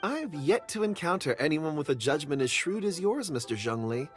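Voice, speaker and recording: Brief: a polite, flattering merchant, animated delivery, game music behind. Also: male voice, a little high, normal speed. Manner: courteous, flattering. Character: smooth-talking gem merchant, flattering. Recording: game audio with clear background music.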